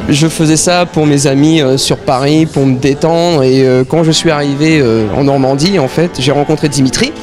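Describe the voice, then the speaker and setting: Man, thirties, shy, hesitant, slightly high voice, nervous laughs, music in the background. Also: steady tone, moderate pace, few pauses, a little mellow. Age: 30s. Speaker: singer being interviewed. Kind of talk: casual interview. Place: concert venue.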